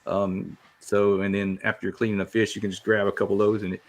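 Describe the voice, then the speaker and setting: A man's voice: fairly low pitch, flat delivery, quick pace. A man chatting casually as a guest on a podcast. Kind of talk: casual chat.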